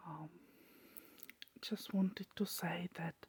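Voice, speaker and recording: A woman reading softly in a halting, hushed ASMR whisper, close up. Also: on the low side, fairly even intonation, breathy edge. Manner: soft, slow, hushed, halting delivery. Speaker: ASMR creator.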